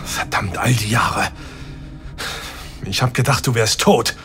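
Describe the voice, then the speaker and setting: A man's voice: mid-range voice, measured pace, over music, close to an everyday voice. A man playing an upset young hero. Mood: agitated. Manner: agitated and demanding. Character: angry young hero confronting his father. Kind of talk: audio drama acting.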